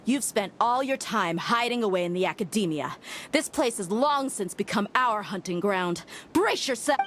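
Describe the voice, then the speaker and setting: A woman's voice: medium pitch, lively intonation, normal speed, noisy background, lightly acted. An actress playing a cool, confident hunter who taunts her prey, in a dramatic video game style. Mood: menacing.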